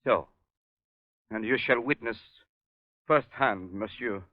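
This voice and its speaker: An actor playing a proud, embittered evil doctor in a 1930s radio drama, speaking formally and theatrically. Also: male voice, lowish voice, takes it slowly, no room sound. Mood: defiant, fatalistic. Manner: grandiose, formal, theatrical delivery. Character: proud, embittered evil scientist doctor bent on self-destruction. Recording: muffled, tinny 1930s radio recording.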